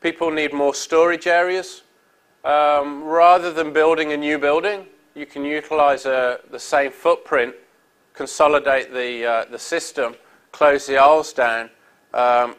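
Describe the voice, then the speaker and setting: A man's voice: on the high side, fairly even intonation, moderate pace, a bit bright. A middle-aged man with a European accent giving a conference presentation on a hall stage, upbeat and salesy with frequent ums and uhs. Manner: upbeat salesy delivery with many fillers. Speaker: industry speaker.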